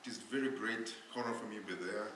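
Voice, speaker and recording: A non-native English-speaking man giving a surgery lecture at a conference, halting, with many fillers and restarts. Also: fairly low pitch, steady tone. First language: not English. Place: lecture hall. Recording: very echoey hall with faint noise.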